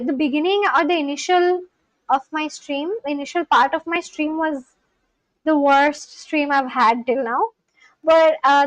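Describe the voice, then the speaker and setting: A young woman whose first language is Bengali, chatting casually on a live stream, reading out viewers' comments and getting excited. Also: high-pitched, dry recording, low-quality recording. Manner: excitable, gasps and exclaims. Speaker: live streamer learning Spanish.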